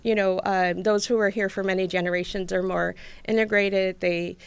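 Smooth-voiced woman, chatty guest. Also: medium pitch, fairly even intonation, moderate pace, dry recording. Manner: chatty, many fillers and restarts. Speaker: podcast guest. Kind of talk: casual conversation.

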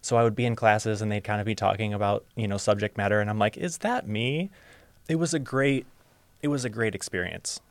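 A young man from Ohio speaking as a podcast guest, open and reflective, sometimes halting. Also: on the low side, no echo. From Ohio, USA. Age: young adult. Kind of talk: casual interview storytelling.

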